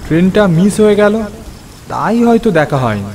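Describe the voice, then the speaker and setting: A man's voice: high voice, sing-song, normal speed, a little mellow, slight background noise. Man playing a gloomy, haunted male friend. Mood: weary, friendly. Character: gloomy male friend, secretly a ghost, weary and troubled. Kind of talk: cartoon horror story acting.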